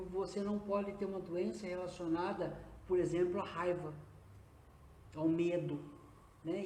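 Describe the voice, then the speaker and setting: A man's voice: high-pitched, darkish tone, slight background noise. Earnest Brazilian man in his fifties, roomy sound. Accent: Brazilian Portuguese. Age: 50s. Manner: earnest, many hesitant "é" fillers. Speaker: guest, nurse and acupuncturist. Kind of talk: live interview answers. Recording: roomy echo.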